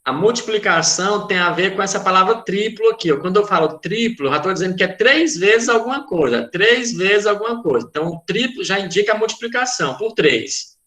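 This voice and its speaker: Brazilian guy teaching math, bright voice, patient, keeps asking if u got it, echoey room. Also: high-pitched, lively intonation, brisk, hardly any pauses. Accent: Brazilian Portuguese. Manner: patient, repeats and checks understanding. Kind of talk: online class explanation.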